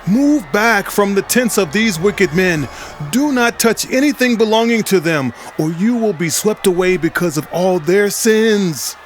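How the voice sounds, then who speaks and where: A man's voice: high-pitched, lively intonation, unhurried, faint music behind, pitched above the performer's own voice. A man voicing an old prophet who speaks earnestly and warns the people. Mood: pleading. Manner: earnest, warning. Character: solemn old male prophet and leader.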